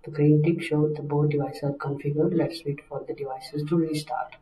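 A man gives a step-by-step software tutorial in a mellow, relaxed voice. His phrasing is halting and instructional. The recording is roomy, with the microphone some distance away. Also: on the high side. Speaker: technical instructor.

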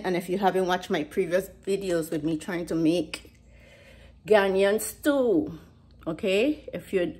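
A woman's voice: lowish voice, darkish tone. A middle-aged woman with a US Virgin Islands Caribbean accent, chatting casually to camera as a vlogger, emphatic and given to repeating her phrases. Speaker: vlogger, host. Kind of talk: casual vlog chat to camera. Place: home kitchen, indoors.

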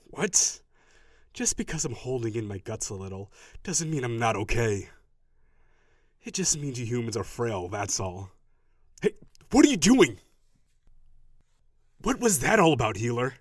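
A man voicing a proud, gruff tsundere dragon warrior in an audio roleplay, brusque and defensive with grudging sighs. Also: medium pitch, very animated, measured pace, pauses often, no echo, clean studio sound. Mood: indignant, defensive.

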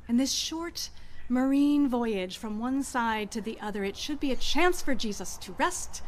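A middle-aged woman pastor preaching a sermon in an outdoor church garden, speaking into a stand mic at a lectern with expressive pauses. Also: fairly high pitch, a little hiss or hum.

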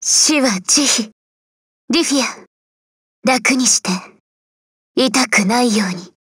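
A woman voicing a gentle, sorrowful young princess of death in an anime-style game, soft, breathy, tender and solemn. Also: a little high, a little slow, no room sound, clean studio sound, full character voice. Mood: merciful.

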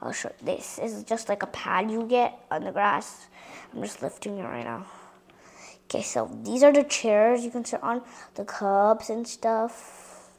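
A female voice giving a chatty tour of a toy dollhouse playset, addressing viewers directly and sometimes dropping into soft whispers. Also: mid-range voice, lively intonation, measured pace, vocal fry. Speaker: toy reviewer.

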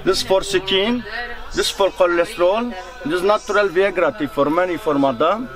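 High-pitched foreign male tea seller, music behind. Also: moderate pace. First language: not English. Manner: clipped listing in broken English. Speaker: tea shop vendor. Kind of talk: informal product showing. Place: small spice and tea shop. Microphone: handheld camera a step away.

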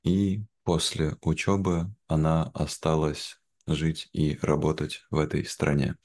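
A young man from Veliky Novgorod in Russia, speaking in simple, measured, clearly phrased conversation for language learners on a video call. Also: very deep voice, level tone, darkish tone. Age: young adult. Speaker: interview guest, language teacher. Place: indoor room, video call. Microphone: video call, wearing headphones.

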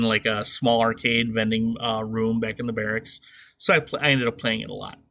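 A man's voice: mid-range voice, fairly bright tone. A middle-aged man hosting a solo comedy podcast, talking in a sarcastic, conversational way with some swearing. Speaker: podcast host.

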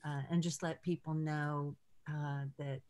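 An older woman leading an informal ministry video call, speaking in an encouraging, halting way from a room at home. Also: low voice, flat delivery, darkish tone. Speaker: ministry leader hosting a call. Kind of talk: informal prayer-call talk. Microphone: video call computer mic.

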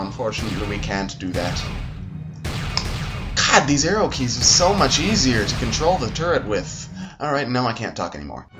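A man giving casual, playful gameplay commentary as a let's play host. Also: medium pitch. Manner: chatty, playful.

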